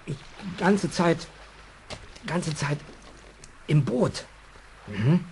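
An actor playing a timid, good-hearted, simple-minded man who talks in halting, childlike broken phrases in a children's radio play. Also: on the high side, big pitch swings, darkish tone, full character voice. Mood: hesitant, timid. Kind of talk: 1970s German children's audio play acting. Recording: old audio drama, faint background noise.

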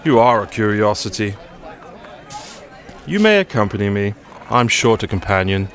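An actor playing an assured, aloof Victorian gentleman detective, speaking formally and precisely in an audio drama. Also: fairly low pitch, sing-song, moderate pace, big-room sound, some chatter behind.

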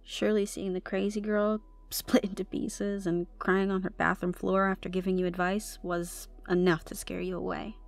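A young woman playing a troubled tomboy goth college girl, shy and softly teasing, in an intimate ASMR roleplay with hesitant delivery and whispered asides. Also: mid-range voice, flat delivery, normal speed, gravelly, few pauses, soft background music, natural voice. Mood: guarded, surprised.

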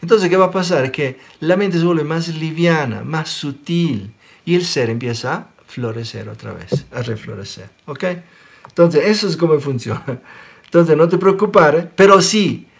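A man in his forties from Sicily who speaks with an Italian accent, giving an animated spiritual talk in an online question-and-answer session and often checking in with listeners. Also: on the high side, a little slow, gravelly. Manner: animated, gestures a lot, checks in with listeners by asking "ok?". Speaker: spiritual teacher. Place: small room indoors. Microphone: webcam close up.

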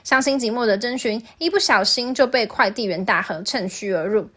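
High-voiced woman hosting a review. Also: a little fast, fairly bright tone. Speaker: host reviewing animations. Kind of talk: scripted video narration.

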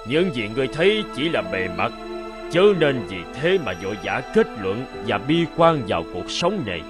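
A man's voice: mid-range voice, big pitch swings, moderate pace, natural voice. A man voicing a solemn, wise bearded god in a children's cartoon, lecturing in a stately manner. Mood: instructive. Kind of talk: dubbed children's cartoon acting. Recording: prominent music under the voice.

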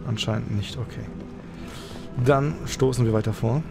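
Creaky-voiced man thinking aloud over game music. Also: mid-range voice, steady tone, moderate pace. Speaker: let's player. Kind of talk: casual gameplay commentary. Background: video game music and effects.

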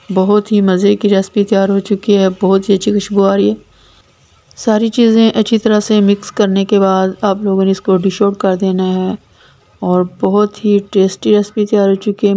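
Pakistani woman with a gravelly, flat voice. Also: medium pitch. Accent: Pakistani Urdu. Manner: plain, repetitive instructional delivery. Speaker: cooking channel host. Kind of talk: step-by-step recipe voice-over. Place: home kitchen.

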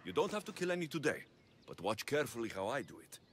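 A man playing a gentle, protective ancient Greek warrior in a video game cutscene. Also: mid-range voice, big pitch swings, fast talker, a little hiss or hum. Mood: calm, reflective. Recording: music bed under the dialogue.

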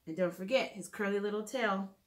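A woman in her thirties, a children's teacher, talks gently and simply through a drawing lesson, thinking aloud, recorded in a living room by a camera a few feet away. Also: mid-range voice, a little fast. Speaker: children's art and reading teacher. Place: living room at home, indoors.

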